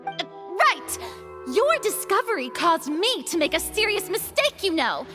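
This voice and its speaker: A woman's voice: very high-pitched, big pitch swings, measured pace, long reverb tail, music in the background, full character voice. An actress voicing a proud, theatrical young lady who is easily flustered, in a video game. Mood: indignant. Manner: dramatic, haughty, sighing.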